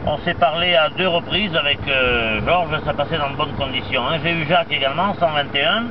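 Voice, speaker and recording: Muffled radio transmission, clear background noise, man, high-pitched, chatty amateur operator, casual radio jargon. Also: a little fast. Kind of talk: casual radio contact chat.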